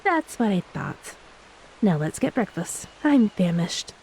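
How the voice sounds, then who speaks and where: A woman playing a gentle, playful ex-mermaid wife in a romantic audio roleplay, speaking tenderly and teasingly to a beloved spouse. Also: mid-range voice, takes it slowly, creaky, full character voice, poor audio. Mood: affectionate, teasing. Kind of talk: romantic audio roleplay, intimate scripted acting. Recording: steady hiss behind the voice.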